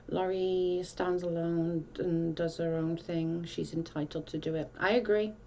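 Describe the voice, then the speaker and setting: Welsh woman hosting a casual livestream, low-set voice, rambly chat, slightly muffled audio. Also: steady tone, takes it slowly, pauses often, darkish tone. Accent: South Welsh. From Wales. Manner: chatty, rambling, restarts often. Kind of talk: casual chat reading comments.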